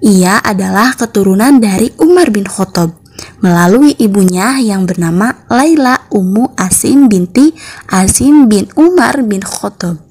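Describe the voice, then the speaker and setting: A young woman teaches a school lesson in a slightly breathy, husky voice. She reads her material in an orderly, instructional way, point by point, for schoolchildren. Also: mid-range voice, expressive, measured pace, darkish tone. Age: young adult. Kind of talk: scripted lesson narration for schoolchildren.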